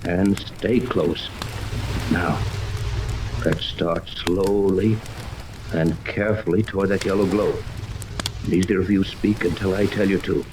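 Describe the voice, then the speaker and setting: An actor playing a commanding, level-headed yacht captain in a 1930s radio serial, speaking with deliberate authority. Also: male voice, sits low, fairly even intonation. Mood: commanding, steady. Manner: authoritative, deliberate, gives orders. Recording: noisy 1930s radio, rain ambience over it.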